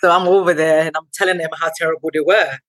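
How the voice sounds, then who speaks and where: A woman in her thirties, originally from Ghana and not a native English speaker, a guest telling her story in a lively way with many ums. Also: deep voice, fast talker, no room sound, clean studio sound. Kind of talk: conversational interview.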